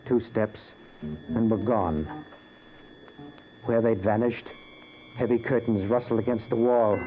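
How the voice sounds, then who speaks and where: Music plays clearly behind a narrow vintage radio recording. A man acts as an anguished ghost recounting his death with desperate, dramatic intensity, in the style of 1940s radio. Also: mid-range voice, natural voice. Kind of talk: 1940s radio acting, first-person narration.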